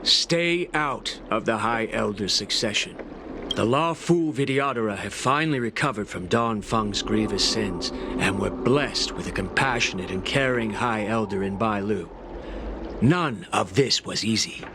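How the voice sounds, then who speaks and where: An actor playing a stern official in a video game, speaking in a firm, cold, commanding way. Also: male voice, on the low side, measured pace, noisy background. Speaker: alchemy official. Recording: game music underneath. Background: game music.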